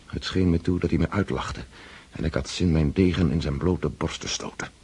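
A tormented count with an extremely deep, rough-edged voice. He confesses in a sombre, measured, introspective way, as narration in a radio drama. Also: a little mellow, heavily acted. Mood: brooding, bitter. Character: brooding, tormented aristocratic count, confessing inner doubts.

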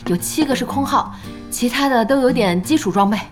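A woman's voice: fairly high pitch, fairly quick, faint music behind, natural voice. An actress playing a spirited, bossy young female internet cafe owner in a Chinese audio drama, speaking frankly and expressively. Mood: businesslike, casual.

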